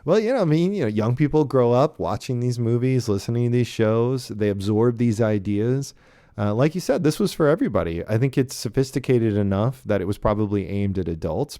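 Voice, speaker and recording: A man with a low, mellow voice hosts a casual podcast discussion. He thinks aloud, hesitating and tagging his phrases with little check-ins. The sound is close and dry. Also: animated, fairly quick, no echo. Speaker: podcast host. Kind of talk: casual podcast discussion of old radio shows.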